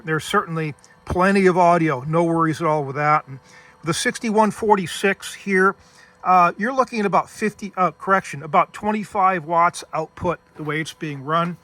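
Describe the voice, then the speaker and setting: A man's voice: a little high, normal speed, fairly bright tone. A man hosting a casual, hands-on technical demonstration, explaining things methodically step by step. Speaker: host demonstrating vintage radio gear.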